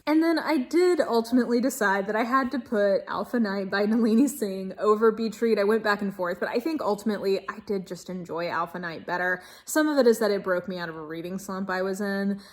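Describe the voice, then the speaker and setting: A woman giving a casual video book review in a gushing, emphatic manner, stretching key words for stress. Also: medium pitch. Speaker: book reviewer and channel host.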